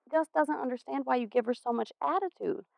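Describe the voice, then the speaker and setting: High-voiced, creaky American school counselor. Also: female voice, a little mellow, dry recording. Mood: patient, reasoning. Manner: measured, reasoning, placating. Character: patient, earnest female school counselor. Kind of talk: stage play acting.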